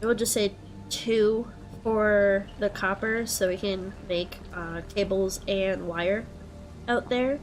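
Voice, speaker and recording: A young woman with a head cold and a stuffed-up nose, chatting casually through gameplay and thinking out loud with plenty of ums. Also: mid-range voice, takes it slowly, faint music behind. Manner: relaxed, thinking aloud with frequent ums. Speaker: let's play host.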